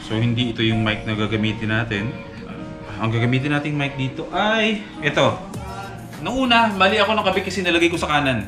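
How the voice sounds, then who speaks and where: A man's voice: mid-range voice, a little fast. Young male vlogger, casual instructive tone, frequent check-ins, over background music. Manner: instructive, talks viewers through each step, frequent "okay?" checks. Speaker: moto vlogger demonstrating gear. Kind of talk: casual how-to walkthrough. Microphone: camera mic.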